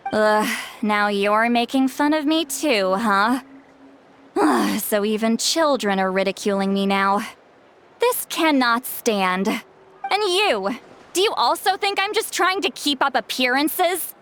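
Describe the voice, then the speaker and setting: High-voiced, grumpy, proud game heroine. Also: female voice, lively intonation, slow, a bit bright, a little hiss or hum. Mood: huffy, indignant. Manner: huffy, dramatic, grumbling. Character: youthful-sounding grumpy, proud woman who calls herself granny. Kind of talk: video game character acting.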